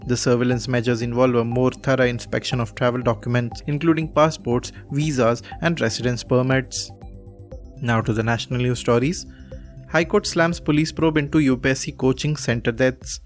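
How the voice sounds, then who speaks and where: A man's voice: mid-range voice, steady tone, moderate pace, soft background music. A man with an Indian accent reading a scripted radio news bulletin as its presenter.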